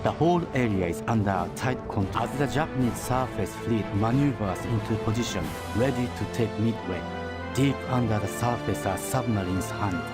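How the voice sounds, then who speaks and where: An actor playing a grave narrator of a wartime game campaign, reading in a measured, serious, documentary-like style. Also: male voice, fairly low pitch, close to an everyday voice. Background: music.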